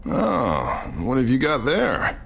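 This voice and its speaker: An actor playing an injured politician in a video game drama, hiding wounded pride behind wry, halting, self-deprecating bravado. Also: male voice, mid-range voice, wildly swooping pitch, vocal fry, a bit bright, a little hiss or hum, heavily acted.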